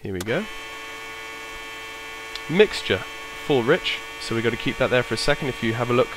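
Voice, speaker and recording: A man with a bit of a cold, his voice croaky, casually talking himself through an aircraft checklist and trailing off as he goes. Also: medium pitch, animated, moderate pace, noisy background. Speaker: flight sim streamer. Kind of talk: casual narrated walkthrough.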